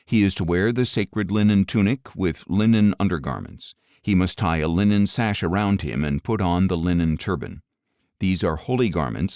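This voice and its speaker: A man narrating scripture as a scripted audio Bible reading, in a measured, formal manner. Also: low voice, a little mellow, dry recording, clean studio sound.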